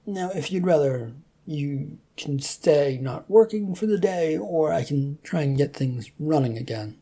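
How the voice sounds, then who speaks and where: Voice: medium pitch, animated, measured pace, mellow, slightly rough. A narrator playing a put-upon male office IT technician who explains things hesitantly in a story reading. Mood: placating, firm.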